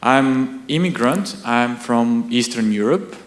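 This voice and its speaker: A man's voice: medium pitch, slow pace, reverberant. A young man with a Bulgarian accent, originally from Bulgaria, asking a long question from the audience and searching for words. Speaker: audience member. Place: lecture hall.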